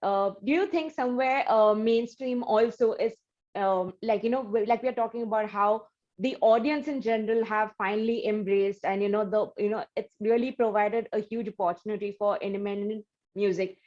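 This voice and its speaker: A woman's voice: mid-range voice, steady tone, normal speed, a little mellow, highs cut off. A woman hosting an interview, asking long, rambling questions full of "uh" and "you know". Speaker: interview host. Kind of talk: casual interview talk.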